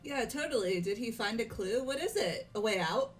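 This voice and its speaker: A woman's voice: on the high side, lively intonation, moderate pace, soft background music, lightly acted. Woman acting breezy, curious schoolgirl. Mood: curious, surprised. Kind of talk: amateur fully voiced game playthrough.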